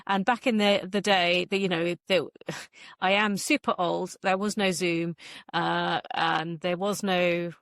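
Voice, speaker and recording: A woman's voice: lowish voice, fairly even intonation, a little slow, a bit bright, no room sound, studio quality. A middle-aged woman being interviewed as an author, chatting casually with self-deprecating asides. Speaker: author being interviewed, former magazine journalist.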